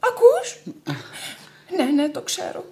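An actress playing a proudly devoted, stubborn young widow in radio theatre, theatrical, lamenting and imperious. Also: female voice, high-pitched, very animated, dark tone. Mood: defiant grief. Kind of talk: Greek radio theatre acting.

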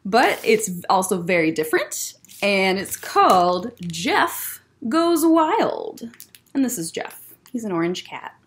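A woman's voice: medium pitch, very animated, takes it slowly. A young woman hosting a children's story time, reading a picture book aloud expressively with casual asides. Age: young adult. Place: library room lined with bookshelves, indoors.

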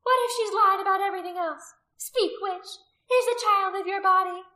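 A woman's voice: very high voice, normal speed, pushed and bright, echoey room, pitched above the performer's own voice, heavily acted. A woman narrator playing a cruel, commanding pagan priestess in an audiobook story, accusing and imperious.

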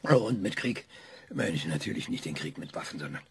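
An actor playing a proud, bitter older male scientist in a radio play, sardonic and lively. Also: extremely low pitch, fairly quick, gravelly, husky, exaggerated voice.

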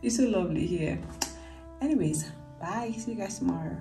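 A woman's voice: fairly low pitch, normal speed, creaky, mellow, faint music behind. A young adult woman with a Nigerian accent, chatting casually and expressively to her travel vlog on a phone. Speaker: vlogger. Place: hotel rooms, indoors. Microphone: phone at arm's length.

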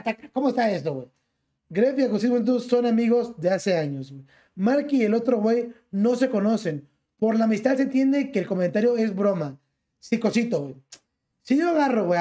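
A man's voice: high-pitched, a little mellow. A young man with a Mexican accent, streaming from his bedroom through a gaming headset and ranting as he reacts to clips, piling slang onto every phrase. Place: small bedroom.

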